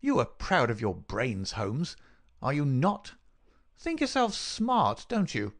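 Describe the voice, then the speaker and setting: A man voicing a cruel, gloating murderer in an audiobook, sneering and mock-solicitous in a dry rasp. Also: medium pitch, wildly swooping pitch, slow pace, pitched above the performer's own voice, heavily acted. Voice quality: dry, rasping. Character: gloating, cruel murderer taunting his dying victim. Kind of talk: dramatic audiobook character reading.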